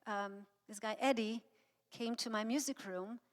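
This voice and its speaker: Croatian lady with a highish voice telling personal stories to a congregation, kinda halting, lots of hall reverb. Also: fairly even intonation. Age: middle-aged. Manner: halting, anecdotal storytelling. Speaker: guest speaker and singer. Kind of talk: informal inspirational talk to a congregation. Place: church sanctuary, speaking to an audience.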